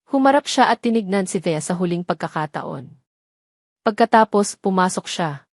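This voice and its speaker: A woman narrating a scripted audio drama in a solemn, measured way. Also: fairly low pitch, lively intonation, no echo, studio quality. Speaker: story narrator.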